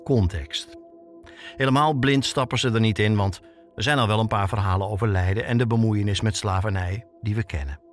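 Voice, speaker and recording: A man's voice: on the low side, brisk, soft background music. A man hosting a podcast with scripted documentary narration, told like a story. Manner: storytelling, with rhetorical questions. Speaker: podcast host and reporter.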